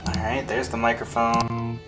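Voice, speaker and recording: A man streaming a video game in a casual, conversational way, often half-muttering to himself. His voice is mid-pitched, with game music playing behind him. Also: completely flat delivery, unhurried. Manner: muttering to himself while troubleshooting. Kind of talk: casual live stream commentary.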